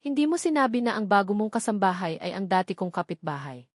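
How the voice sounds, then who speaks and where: A smooth, falsely friendly rich man who talks breezily and chats on, as a woman reads him out. He hides a controlling edge under cheer. The voice is dry and close. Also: mid-range voice, very animated, fast talker, no echo. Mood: cheerful. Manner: breezy, chatty, overly friendly.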